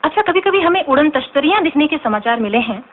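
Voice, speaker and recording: An actress playing a friendly, curious radio host, asking polite, articulate questions in a scripted drama interview. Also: female voice, high voice, animated, quick pace. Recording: tinny, band-limited, noisy radio recording.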